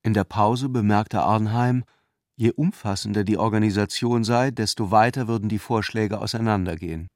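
A man's voice: fairly low pitch, steady tone, moderate pace, darkish tone, no echo, clean studio sound. A man reading literary narration as the narrator of a radio play.

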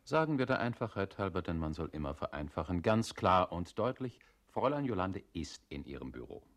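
An actor in an experimental radio play, playing a pedantic reasoner who sets out assumptions with emphatic precision. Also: male voice, low voice, sing-song, fairly quick. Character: pedantic male reasoner setting out hypothetical assumptions. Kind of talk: experimental German radio play, scripted recitation.

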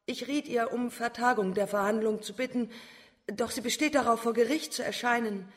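An actress playing a formal, deferential court attendant in a German radio crime drama. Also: female voice, fairly high pitch, steady tone, moderate pace, big-room sound, lightly acted. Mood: deferential, urgent. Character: deferential, hurried court attendant reporting to judge.

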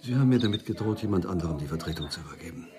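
A man's voice: low voice, animated, very fast, over music. An actor playing an uneasy older man who hesitantly confesses an old secret in a radio drama. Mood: uneasy, confessing.

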